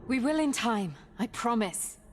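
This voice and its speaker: A woman's voice: a little high, lively intonation, a little slow, a little hiss or hum. A woman voicing a resolute, protective warrior heroine in a video game, with a firm, earnest, caring manner. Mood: firm concern. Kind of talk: video game cutscene acting.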